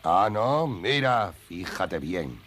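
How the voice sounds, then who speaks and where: A man playing a menacing, gruff bear hunter in a Spanish cartoon dub, with a bullying way of talking. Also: deep voice, very animated, slow, slight background noise, full character voice. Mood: intent. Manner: bullying, forceful. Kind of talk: animated cartoon dub acting.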